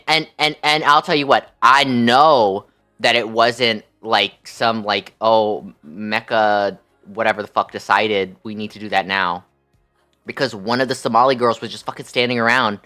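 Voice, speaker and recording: A man's voice: mid-range voice, sharp tone. A man chatting casually on a livestream in a sassy, campy, slangy way peppered with swearing. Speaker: live streamer.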